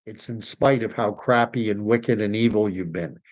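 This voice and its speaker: A man's voice: fairly low pitch, moderate pace. A middle-aged man from Canada hosting a live stream, rambling with many fillers as he reads chat comments, his voice wearing out. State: losing his voice.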